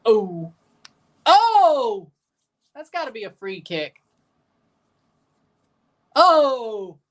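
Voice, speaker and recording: A man's voice: very high-pitched, big pitch swings, very slow. A man in his twenties hosting a live match watchalong, talking casually and joking with his viewers between calls of the play. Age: 20s. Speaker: livestream host.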